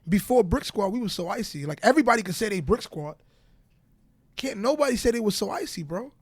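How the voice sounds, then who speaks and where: A man's voice: high-pitched, expressive, a little fast, slightly rough, dry recording. A man with a stutter talking candidly as a podcast guest, repeating his points in short bursts, with a Southern US accent. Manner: stutters, repeats phrases like "bro" and "real shit". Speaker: guest rapper. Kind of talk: candid interview talk.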